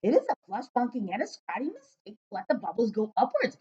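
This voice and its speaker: A woman voicing a big friendly old giant in a children's storybook, booming, emphatic and muddling words. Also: wildly swooping pitch, warm tone, breathy edge, lo-fi sound, exaggerated voice. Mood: exasperated. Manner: booming, emphatic, drawn-out exclamations. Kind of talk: children's storybook read-aloud.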